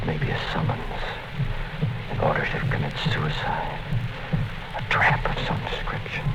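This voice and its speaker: A man's voice: extremely low pitch, completely flat delivery, measured pace, very noisy. An actor narrating as a fearful, secretive male office worker writing a diary, brooding in a 1950s radio drama. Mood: fearful whisper. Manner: tense, brooding inner monologue. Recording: dull-sounding 1950s radio broadcast with music.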